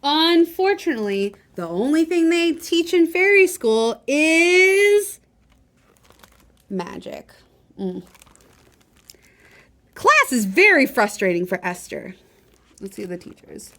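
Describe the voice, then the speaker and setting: Woman, children's read-aloud host, very lively intonation, slow pace, chatty asides to young listeners. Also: sits high. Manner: animated, expressive, talks directly to young listeners. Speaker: library storytime host and reader.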